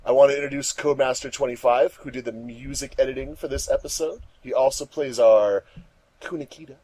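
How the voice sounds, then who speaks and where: A man's voice: medium pitch, steady tone, brisk, dark tone, dry recording, poor audio. A man hosting a fan commentary track, introducing things casually in an upbeat, announcer-like way with emphatic stresses. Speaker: host of a fandub commentary track.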